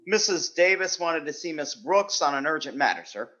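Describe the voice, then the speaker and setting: An actor playing an earnest, naive young schoolteacher, easily flustered, in 1940s radio sitcom style. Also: male voice, fairly high pitch, lively intonation, moderate pace, pushed and bright, dull audio. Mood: polite.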